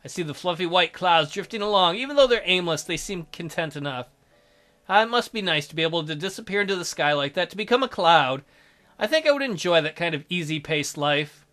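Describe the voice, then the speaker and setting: A man's voice: high-pitched, lively intonation, fairly quick, bright, forceful tone. A man reading visual novel narration aloud as a dramatic let's play narrator, with music behind him.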